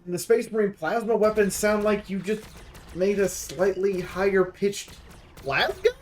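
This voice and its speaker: A male YouTube host with a somewhat high-pitched voice talks through a game preview. He is casual and opinionated, stressing his points emphatically as he rambles and swears. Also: unhurried, noisy background.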